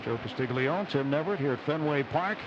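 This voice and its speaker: A man's voice: on the high side, expressive, a little fast. A man with an American accent calling a baseball game live on radio, play by play, and reading short sponsor spots. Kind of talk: live radio sports commentary with sponsor reads. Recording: dull radio broadcast with loud stadium noise. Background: stadium ambience.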